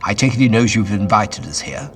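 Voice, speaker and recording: Man playing courteous gentleman scientist, inquisitive, urbane, formal, expressive intonation, audio drama, light music. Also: on the low side, fairly quick. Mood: courteous, assured.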